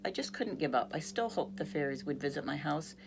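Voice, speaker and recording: A woman's voice: low-pitched, lively intonation, a little fast, vocal fry, noisy background. A woman reading a picture book aloud expressively, now and then speaking to young listeners. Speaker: reader.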